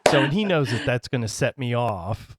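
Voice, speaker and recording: A man interviewing a band in loose, casual chat. His voice is loud and carries, and his talk is excitable and rambling, full of restarts. His pitch sits in a middle range. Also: moderate pace, heavy background noise. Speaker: radio show host interviewer.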